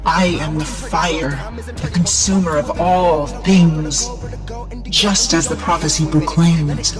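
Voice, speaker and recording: A woman's voice: deep voice, steady tone, takes it slowly, music in the background. A woman playing an imperious masked shrine guardian in a video game, solemn and zealous, speaking in grandiose incantations. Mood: exultant, solemn. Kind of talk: video game cutscene acting.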